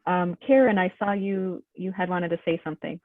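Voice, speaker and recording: A woman's voice: fairly low pitch, steady tone, normal speed, dry recording. Woman presenting on a video call, tinny phone sound, dead dry. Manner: informative, affirming, uses "um" a lot. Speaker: workshop presenter from an advocacy group. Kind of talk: video-call workshop discussion.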